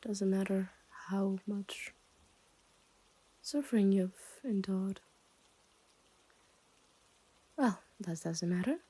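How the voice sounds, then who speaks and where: A woman acts out a possessive yandere girl who has kidnapped her listener. She talks very slowly at a middle pitch, smug and teasing, often slipping into whispers. It is an intimate, condescending ASMR roleplay monologue. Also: warm tone, breathy edge. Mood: cold pity.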